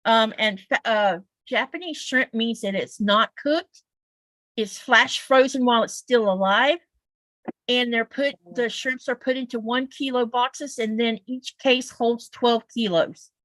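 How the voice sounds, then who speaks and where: A woman's voice: on the high side, a little slow, a bit bright, no room sound, rough recording. A woman with a Southern US accent, chatting casually on a livestream in an explanatory, folksy way. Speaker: quilter guest on a livestream.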